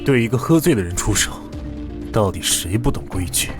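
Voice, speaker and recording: A man playing a cold, possessive young man with a controlled, threatening manner in an animated drama. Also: on the low side, moderate pace, music in the background, natural voice. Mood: angry. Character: cold, menacing, possessive young man devoted to older friend.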